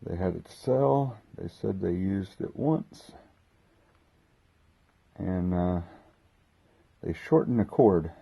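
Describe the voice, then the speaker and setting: A man hosting a casual unboxing video, talking haltingly as he thinks aloud while handling gear. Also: low voice, animated, creaky, warm tone, husky. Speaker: host of a hobby unboxing video. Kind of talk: casual unscripted product walkthrough.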